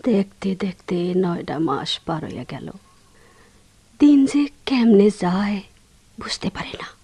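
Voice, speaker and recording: Sad brooding village wife, rural bangladeshi accent, husky breathy voice, really slow and halting, radio drama monologue. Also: female voice, mid-range voice, very animated, mellow, heavily acted. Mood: wistful, brooding. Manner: halting, breathy, drifting into whispers. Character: sorrowful, brooding Bengali village wife, anguished and wistful.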